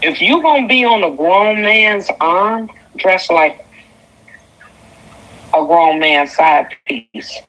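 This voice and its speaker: A woman's voice: on the low side, expressive, slow, long pauses, fairly bright tone. A woman in her fifties from Detroit, calling into a talk show with a Detroit accent, scolding and emphatic. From Detroit, USA. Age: 50s. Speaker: caller. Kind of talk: call-in radio talk. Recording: tinny phone line.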